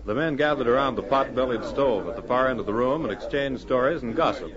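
Casting: male vintage radio storyteller, medium pitch, formal scene-setting delivery, noisy background voices. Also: lively intonation, fast, close to an everyday voice. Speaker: narrator. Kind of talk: scripted radio drama narration. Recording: dull old radio, crowd and dogs.

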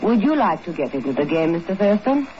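A woman's voice: lowish voice, very animated, a little fast. An actress playing a coolly teasing, sardonic woman intriguer in 1950s radio drama. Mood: arch, teasing. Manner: arch, sardonic. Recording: dull, noisy 1950s radio recording.

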